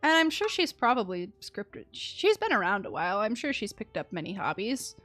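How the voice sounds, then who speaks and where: A woman game streamer giving casual, chatty live commentary with an animated, empathetic manner. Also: medium pitch, moderate pace. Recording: game music behind the voice.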